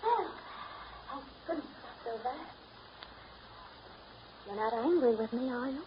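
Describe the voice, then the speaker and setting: Radio drama, late 1930s: clinging, self-pitying woman, emotional and halting delivery, muffled recording. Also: high-pitched, animated, background noise. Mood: anxious coaxing. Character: restless, needy, self-pitying woman afraid of getting old.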